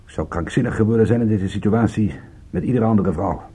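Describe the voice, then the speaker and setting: A weary private eye in a radio drama. His voice is lowish, mellow and husky. He talks in a wry, laconic way. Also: fast. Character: world-weary, wry male private detective. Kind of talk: radio drama acting with first-person narration.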